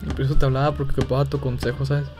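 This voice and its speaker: A young man with a Mexican accent speaks in a casual, halting way, as if embarrassed to get to the point. Music plays clearly behind his voice. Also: medium pitch, flat delivery. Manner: hesitant, halting, feigning embarrassment. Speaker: gaming video creator making a prank call. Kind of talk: casual phone chat.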